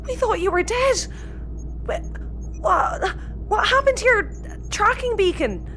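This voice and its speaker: A woman's voice: extremely high pitch, expressive, slow pace, fairly bright tone, soft background music, exaggerated voice. A woman playing a keen, emotional spaceship navigator in a sci-fi audio comedy. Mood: relieved.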